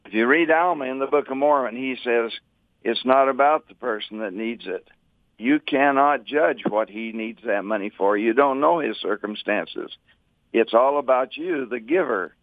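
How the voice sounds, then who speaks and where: A man's voice: mid-range voice, normal speed, no echo. Man calling in on a tinny phone line. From Utah. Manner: earnest, preachy, cites scripture. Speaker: radio caller. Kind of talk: phoned-in call to a radio show.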